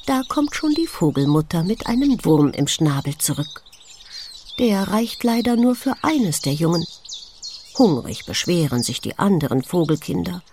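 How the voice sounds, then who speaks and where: A woman reading a children's story in an expressive, hushed and wondering way, speaking directly to a small child. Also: fairly low pitch, moderate pace, slight background noise. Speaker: audiobook narrator for young children. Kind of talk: gentle scripted children's story narration with questions to the listener. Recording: birdsong and clicks behind the voice. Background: forest sounds with chirping birds.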